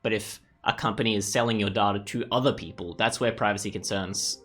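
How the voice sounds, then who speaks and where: Male walkthrough host, fairly deep and sharp-edged, casual, thinking out loud as he goes. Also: steady tone, fairly quick, soft background music. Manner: rambling, thinking aloud. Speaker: gaming video host. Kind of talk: casual game walkthrough commentary.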